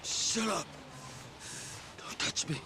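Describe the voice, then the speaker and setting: An actor playing a bitter, hostile drunk in a game cutscene, foul-mouthed and erratic, with a gravelly voice. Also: male voice, takes it slowly, very noisy, close to an everyday voice. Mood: agitated. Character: drunken, hostile, bitter homeless-seeming man, volatile. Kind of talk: dramatic game cutscene acting. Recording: loud music behind the voice.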